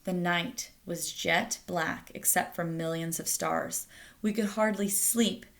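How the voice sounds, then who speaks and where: A woman's voice: fairly low pitch, steady tone. A woman reading a children's picture book aloud as a storyteller, addressing young listeners directly. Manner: pauses to ask listeners questions.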